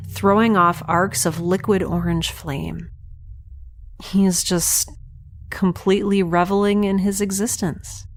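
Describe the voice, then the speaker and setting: A woman's voice: fairly low pitch, a little hiss or hum. A woman playing a guarded, wry young scientist in a science fiction audio drama, speaking in a casual way.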